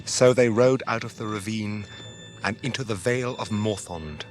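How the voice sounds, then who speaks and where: A British man giving grave, measured, scripted literary narration in a radio drama. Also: fairly low pitch, close to an everyday voice. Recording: 1981 radio drama with music underneath.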